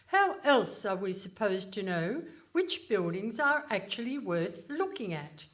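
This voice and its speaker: A woman playing a haughty, pompous Iron Lady politician in a comic radio play, speaking in a stately, deliberate manner. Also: mid-range voice, very animated, a bit bright. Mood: pompous, self-important. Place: hall stage before a live audience.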